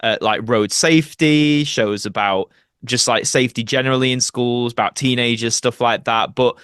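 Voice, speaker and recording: A man's voice: mid-range voice, fairly even intonation, moderate pace, a bit bright, clean tone, no room sound, studio quality. A young British man chatting casually as an interview guest, reflective and anecdotal. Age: young adult. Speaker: podcast guest, actor and YouTuber.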